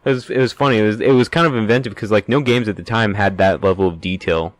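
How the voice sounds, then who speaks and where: A man's voice: fairly low pitch, quick pace, no echo. A man co-hosting a podcast, bantering casually with friends. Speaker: podcast co-host.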